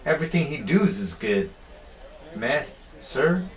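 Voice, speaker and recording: A man's voice: medium pitch, a little slow. A young man chatting casually and teasing a friend while they watch a basketball game together. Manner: joking, teasing, lively banter. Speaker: co-host. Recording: echoey room.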